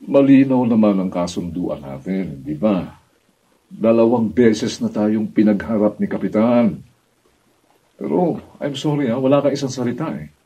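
A man's voice: lowish voice, animated, measured pace, dark tone. An older man with a Filipino accent playing an aggrieved, stubborn father in a radio drama. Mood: reproachful, indignant. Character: aggrieved, stubborn, vengeful middle-aged father and neighbor. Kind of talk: Tagalog radio drama acting. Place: radio drama recording studio, distant camera. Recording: dull audio with clear background noise.

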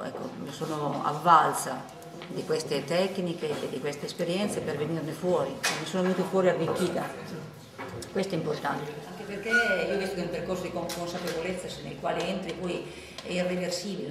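Middle-aged woman, earnest repetitive presenter, distant camera, long reverb, crowd behind. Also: lowish voice. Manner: earnest, repeats phrases for emphasis. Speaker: project creator presenting. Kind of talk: personal presentation speech. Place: office room with computers. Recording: echoey room from far off, with crowd.

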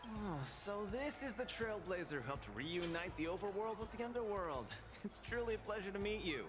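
High-voiced, cheerful young champion fighter. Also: male voice, animated, moderate pace. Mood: friendly. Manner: friendly, polite, boyish. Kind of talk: video game voice acting. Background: game music.

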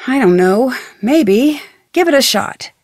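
A young female amateur detective from a mystery video game. Her voice is on the high side and recorded dry and close. She talks in a friendly, casual, clearly spoken way, curious and level-headed. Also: lively intonation, slow, a little mellow, dry recording, exaggerated voice. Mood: weary, candid. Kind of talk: video game voice acting, conversational phone dialogue.

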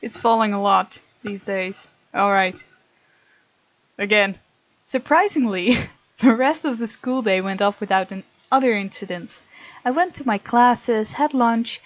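A woman's voice: fairly high pitch, a little slow, no room sound, lo-fi sound. A woman doing a let's play, reading a visual novel aloud with chatty, giggly asides.

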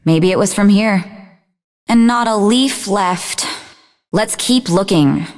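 An actress playing a sarcastic, sharp-witted young woman psychic who is easily exasperated, in a video game. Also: medium pitch, takes it slowly, in a large hall, natural voice. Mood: reflective. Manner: sarcastic, teasing.

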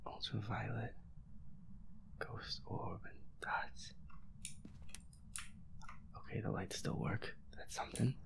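A gamer with a breathy, whispery voice, nervously muttering to themself while playing through a horror game. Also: mid-range voice, steady tone, slow, pauses often. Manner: nervous, muttering to self, reluctant. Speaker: solo gamer. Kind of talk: hushed let's-play commentary of a horror game.

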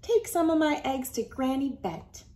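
A woman's voice: high voice, expressive, a little slow, warm tone, voice pushed up. A woman voicing friendly, helpful country farm folk in a folksy, exaggerated storybook manner.

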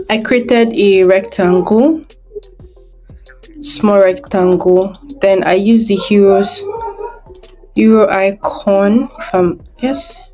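A woman's voice: mid-range voice, a little mellow, poor audio. A woman giving a screen-recorded design tutorial, thinking aloud step by step as she works.

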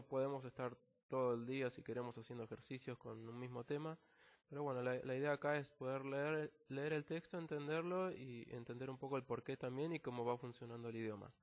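A man with an Argentine accent, an English teacher patiently explaining a technical text word by word, with frequent fillers. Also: medium pitch. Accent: Argentine Spanish. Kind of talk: screen-recorded language lesson. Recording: slightly dull lo-fi recording.

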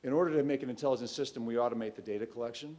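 A man with a mid-pitched voice presents as a startup founder. His delivery is conversational and emphatic, with direct questions to the listeners. He speaks into a microphone on an auditorium stage. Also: very fast. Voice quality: loud voice. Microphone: microphone on a lectern stand.

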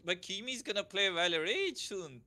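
A man in casual voice chat during a team shooter game, calling plays and reassuring his teammates with quick repeated phrases. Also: high voice, animated, sharp tone, soft background music. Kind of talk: casual gaming chat over voice chat.

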